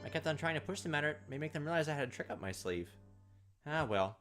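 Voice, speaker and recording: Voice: medium pitch, animated, fast talker, fairly bright tone. A gaming video host reading visual novel text aloud, dropping in casual, playful asides to the characters. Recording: music behind the voice. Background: game music.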